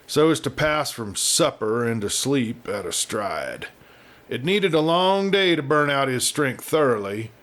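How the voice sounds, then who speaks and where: A man telling a story as host and narrator, in a folksy, measured manner. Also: medium pitch, fairly bright tone. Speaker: storyteller host reading a western novel. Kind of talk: folksy scripted audiobook narration.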